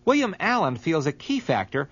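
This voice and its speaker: A man delivers scripted documentary narration in a fairly high, highly animated voice. The recording is somewhat dull and lacks top end. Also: moderate pace, husky. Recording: dull, muffled audio.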